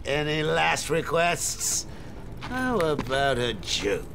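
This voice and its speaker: A man playing a gleeful, sadistic, theatrical clown villain in a video game, with a gravelly, mocking voice. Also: on the high side, very animated, takes it slowly, loud music behind the voice, full character voice. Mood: taunting. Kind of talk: video game cutscene acting.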